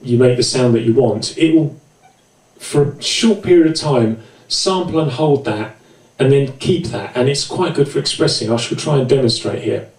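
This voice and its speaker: A man's voice: medium pitch, normal speed, a little mellow. A man presenting an informal, explanatory music gear tutorial with hesitant, self-deprecating asides. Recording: roomy, echoey, distant mic.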